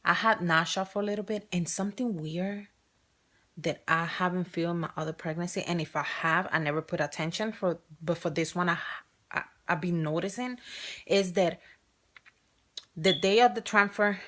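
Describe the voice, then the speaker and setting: A woman's voice: fairly low pitch, moderate pace, a bit bright. A woman in her thirties with a strong Spanish accent, talking openly and chattily in a personal vlog, often restarting and correcting herself. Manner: chatty and open, with frequent restarts and self-corrections. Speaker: vlogger.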